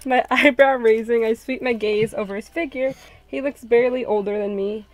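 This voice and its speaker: Joking woman hosting, slightly high voice. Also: fairly even intonation, moderate pace, a crowd in the background, poor audio. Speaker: host and narrator of a group visual novel read-along. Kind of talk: casual chat mixed with story narration.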